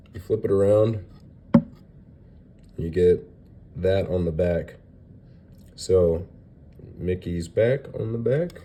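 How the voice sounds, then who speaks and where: A young man with a low, very dark voice, a little nasal and stuffy from a cold he is getting over. He rambles casually through his card collection, hesitating often and filling gaps with ums and uhs. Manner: rambling, hesitant, lots of fillers. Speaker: card collector showing graded cards. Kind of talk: casual unboxing vlog.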